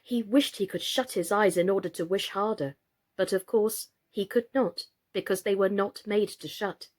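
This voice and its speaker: A woman reading a children's storybook aloud in an expressive, scripted style. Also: medium pitch, moderate pace. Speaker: story reader, actress.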